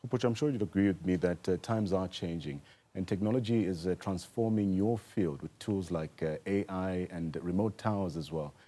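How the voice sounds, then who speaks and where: A South African man with a low, dark-toned voice works as a news interviewer. He puts his questions deliberately, pausing inside phrases in a broadcast interview style.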